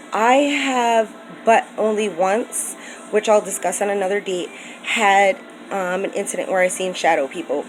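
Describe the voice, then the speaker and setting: A woman's voice: mid-range voice, slight background noise, poor audio. A woman from the United States talking in an earnest, rambling way with many ums and restarts in a personal vlog. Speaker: vlogger. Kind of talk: casual personal vlog talk.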